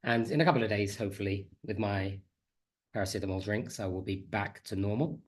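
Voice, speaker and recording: Man, slightly nasal from a cold, friendly conference presenter, video call mic. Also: fairly low pitch. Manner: friendly, chatty, self-deprecating. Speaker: conference speaker, teacher trainer. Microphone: computer mic on a video call.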